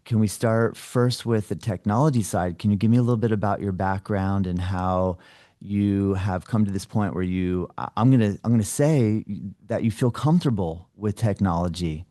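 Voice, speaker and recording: Male interviewer, lowish and very mellow, closely recorded and dry, chatty and appreciative. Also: normal speed, no room sound. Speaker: podcast host interviewing a guest. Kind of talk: casual conversational interview.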